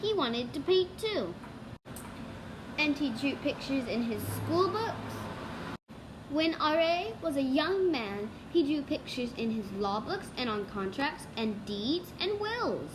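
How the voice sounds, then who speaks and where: High-pitched, lively female storybook reader. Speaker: student reader. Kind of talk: storybook read-aloud. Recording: clearly noisy background.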